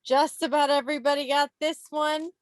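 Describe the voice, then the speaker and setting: A woman's voice: high voice, steady tone, brisk. A woman hosting a quiz over a video call in a playful, teasing, theatrical way. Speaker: quiz host. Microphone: video call microphone.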